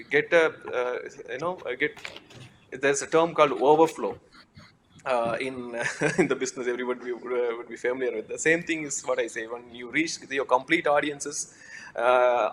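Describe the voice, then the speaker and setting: Halting man on a film panel. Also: mid-range voice, a bit bright, slight background noise. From India. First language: Tamil. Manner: halting, many fillers and restarts. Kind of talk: panel discussion.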